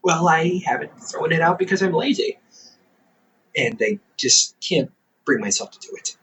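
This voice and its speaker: A man's voice: fairly high pitch, expressive, moderate pace, fairly bright tone, far from the mic, low-quality recording. A man voicing a caring, goofy older brother in an audio roleplay, playful and chatty, laughing often.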